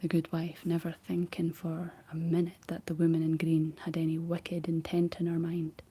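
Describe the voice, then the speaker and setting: A woman whispering a folk tale aloud in a soft ASMR style, stopping for playful asides. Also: sits low, completely flat delivery, normal speed, husky. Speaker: storyteller. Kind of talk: whispered ASMR story reading.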